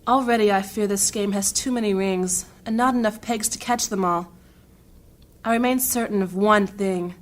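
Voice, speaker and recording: A woman playing a guarded, grave noble mage in a fantasy audio drama, speaking formally and deliberately. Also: mid-range voice, close to an everyday voice. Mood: brooding.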